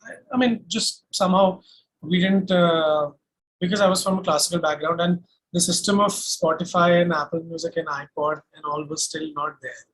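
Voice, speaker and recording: A young man with an Indian accent speaks in a high-pitched voice. He talks as a singer being interviewed, casually telling stories in a conversational flow. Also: fairly even intonation, moderate pace, a bit bright, rough recording. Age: young adult. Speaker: singer-songwriter guest.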